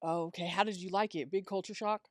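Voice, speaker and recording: A man hosting an interview podcast, reading an introduction and then asking questions, answering the guest with steady affirmations. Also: high-pitched, fast, clean studio sound. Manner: affirming, echoes guest with "that's right" and "yes". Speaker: podcast host. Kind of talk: interview host.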